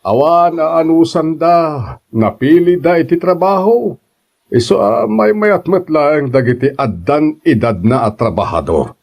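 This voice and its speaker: A man's voice: on the high side, lively intonation, unhurried, a little mellow. A man playing a kindly, encouraging boss and landlord in an audio drama, with an Ilocano Filipino accent. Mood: explaining. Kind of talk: radio-style drama acting.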